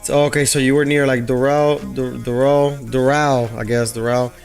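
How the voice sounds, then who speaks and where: A man's voice: mid-range voice, flat delivery, moderate pace, clear, resonant tone. A man from Puerto Rico chatting casually with his livestream viewers as a day trader, rambling and thinking aloud with lots of fillers. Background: faint background music.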